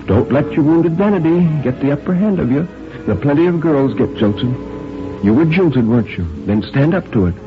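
A man voicing a sharp inner voice of conscience in a story reading, brisk and admonishing. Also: on the high side, lively intonation, over music, pitched above the performer's own voice, close to an everyday voice. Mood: scolding. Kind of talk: dramatic short story reading. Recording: thin phone-like audio.

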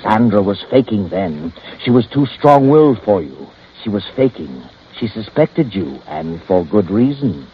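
An actor playing a pushy, sardonic police detective in a vintage radio crime drama, questioning in clipped, insistent lines. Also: male voice, fairly low pitch, sing-song, a little slow, hardly any pauses, a little hiss or hum. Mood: sardonic, gloating. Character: hard-boiled male police detective, brisk, pushy, sardonic. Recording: dull old radio broadcast, faint music.

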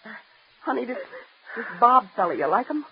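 A woman's voice: on the high side, sing-song, brisk. An actress playing a fretful, wounded middle-aged mother, plaintive and fussing in a melodramatic radio drama style. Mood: soothing, coaxing. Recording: dull, noisy old radio drama recording.

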